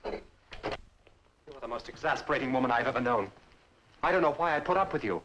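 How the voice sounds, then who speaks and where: Man playing curt scheming nephew, mid-pitched, brisk delivery, testy, old radio drama. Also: lively intonation, a bit bright, a little hiss or hum, natural voice, rough recording. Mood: cold, exasperated. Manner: curt, formal, irritable. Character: testy, conniving nephew, coldly polite.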